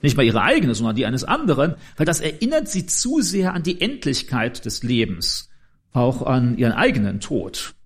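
A man's voice: mid-range voice, big pitch swings, moderate pace, breathy edge. A man preaching in an informal, conversational and explanatory way, addressing his listeners directly. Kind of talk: informal sermon.

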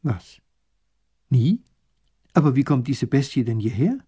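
A man's voice: sits high, lively intonation, darkish tone, pitched above the performer's own voice. A man voicing a small, hot-tempered male grand duke who gives orders imperiously. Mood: puzzled. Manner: imperious, quick to anger.

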